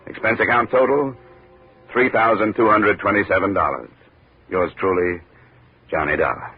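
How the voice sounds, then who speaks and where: An actor playing a terse, cynical, world-weary American investigator in a 1950s radio detective drama. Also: male voice, on the low side, moderate pace, background noise, natural voice. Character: hard-boiled, cynical male investigator. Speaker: lead actor narrating as an insurance investigator. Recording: tinny 1950s radio recording, loud music.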